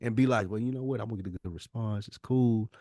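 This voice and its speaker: A man's voice: lowish voice, quick pace, mellow, dry recording, studio quality. An American man co-hosting a podcast, talking casually and candidly in an earnest, slangy way and repeating words for emphasis. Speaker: podcast co-host.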